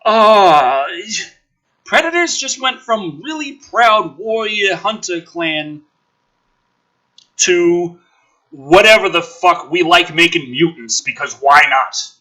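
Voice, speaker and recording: A man doing a dramatic script reading with sarcastic, sweary commentary, swinging between hushed narration and exasperated ranting. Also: high voice, lively intonation, slow pace, low-quality recording. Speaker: host of a gaming and commentary channel.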